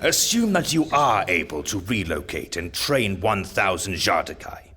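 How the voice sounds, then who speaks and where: An actor playing a wary council official who presses with pointed questions. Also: male voice, sits low, big pitch swings, a little slow, pushed and bright, husky, full character voice. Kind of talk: audio drama acting.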